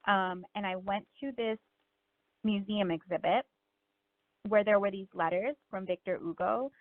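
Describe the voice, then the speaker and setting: A woman's voice: mid-range voice, a little slow, dry recording. A woman from Miami speaking casually and reflectively as a guest author in an interview, often saying "like" and "you know.". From Miami, United States. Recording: narrow phone-line sound.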